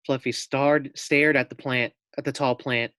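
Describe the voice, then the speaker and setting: Male teacher, storybook read-aloud: deliberate pace, dry close sound, asks young listeners questions. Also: mid-range voice, steady tone, fairly bright tone, clean tone, no echo.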